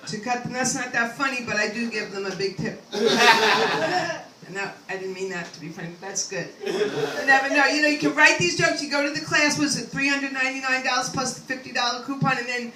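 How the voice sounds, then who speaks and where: A woman's voice: high-pitched, brisk, background noise. An older woman doing a rambling stand-up comedy set, self-deprecating and full of chatty asides to the crowd. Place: comedy club stage. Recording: echoey room, mic at a distance, faint ambience.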